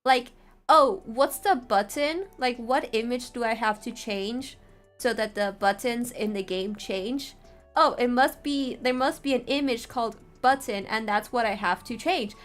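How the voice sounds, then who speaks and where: A woman's voice: fairly high pitch, a little slow, a bit bright, soft background music. A woman talking informally as a streamer teaching a tutorial, chatty and often checking in with her listeners. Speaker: streamer teaching how to make visual novels. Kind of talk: casual livestream tutorial talk.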